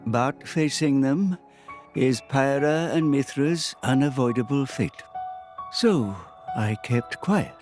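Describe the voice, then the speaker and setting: A man playing a wise, gently stern old grandfatherly mentor in a video game, measured and solemn. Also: medium pitch, music in the background, natural voice. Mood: grave. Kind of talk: dubbed video game cutscene acting.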